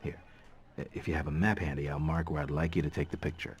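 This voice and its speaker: A man's voice: very deep voice, steady tone, quick pace, a little hiss or hum. A man playing a kindly, supportive middle-aged newspaper editor in a video game, avuncular and easygoing.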